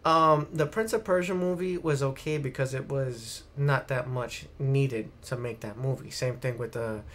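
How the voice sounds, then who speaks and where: A man's voice: mid-range voice, fairly even intonation, normal speed, fairly bright tone, slight background noise. A man co-hosting a casual video game podcast on a live stream, ranting bluntly with plenty of swearing. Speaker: podcast co-host.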